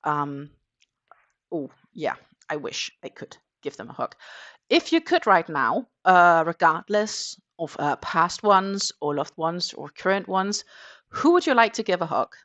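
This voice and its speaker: A woman's voice: lowish voice, lively intonation, measured pace, fairly bright tone, lo-fi sound. A Danish-born woman hosting a casual morning crochet livestream in English, chatting in a rambling way with lots of fillers. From Denmark.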